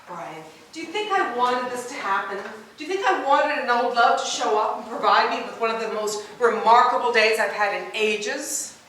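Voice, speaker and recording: A woman acts out a wistful, rapturous wife in a stage play, her voice fairly high. She rehearses in a very echoey room, picked up by a distant mic with faint noise behind. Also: lively intonation, normal speed, fairly bright tone. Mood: pleading, earnest. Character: wistful wife rapturous over her imaginary old lover.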